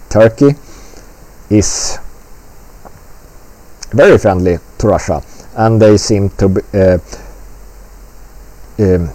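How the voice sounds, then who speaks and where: Slow, low, mellow-voiced man. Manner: blunt, rambling, swears freely. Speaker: commentator. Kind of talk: casual political commentary.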